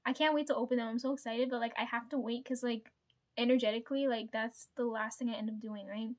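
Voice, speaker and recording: A woman talks straight to her viewers in a high, bright register, winding through a story in loose casual chat. She swears freely, laughs often and keeps checking in with her audience. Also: steady tone, fast. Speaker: vlogger.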